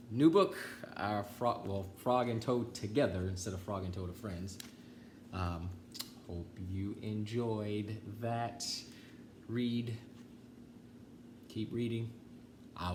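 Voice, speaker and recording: A man reading a children's story aloud, with deliberate phrasing and pauses. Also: fairly low pitch, noisy background.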